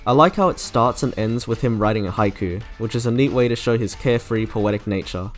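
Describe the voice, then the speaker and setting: A man's voice: mid-range voice, brisk. A man delivering scripted commentary as the host of a video reviewing game character trailers. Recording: music under the voice. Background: background music.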